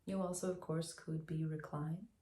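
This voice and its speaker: A woman guiding a meditation as a teacher, speaking in a gentle, soothing, unhurried way with long pauses, in a slightly echoey room. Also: low-pitched, fairly even intonation. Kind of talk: guided meditation, soft instructional narration.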